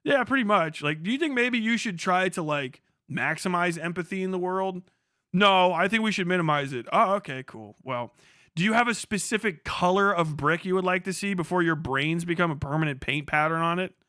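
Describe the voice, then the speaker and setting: A man's voice: sits high, fairly quick, bright, forceful tone, no room sound, clean studio sound. A man hosting a livestream, ranting casually and reading chat with profane sarcasm, often acting out mock exchanges. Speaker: livestream host.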